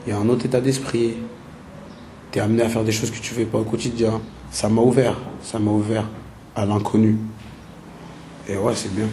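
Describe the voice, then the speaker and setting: A man's voice: medium pitch, mellow. A young man from France speaking casually and bluntly in an interview, using slang. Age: young adult. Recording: noisy location recording.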